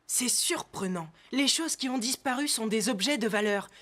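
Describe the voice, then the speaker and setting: A level-headed teenager from a ranch cartoon. The voice sits a little high and stays measured and reasoning. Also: female voice, animated, a bit bright. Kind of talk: cartoon dub voice acting.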